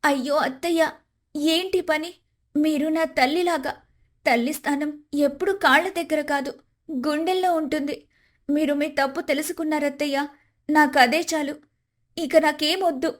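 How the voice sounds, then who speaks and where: A woman's voice: high voice, steady tone, moderate pace, pauses often, close to an everyday voice. A woman playing a respectful, devoted young daughter-in-law in a moral story, speaking in a heartfelt way. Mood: tender, forgiving. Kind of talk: dramatised moral story dialogue.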